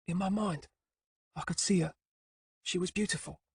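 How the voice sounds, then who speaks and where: A man doing a hushed frightened boy. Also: high-pitched, a little hiss or hum, pitched above the performer's own voice. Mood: haunted confession. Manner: hushed, halting. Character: frightened young boy, haunted and hesitant.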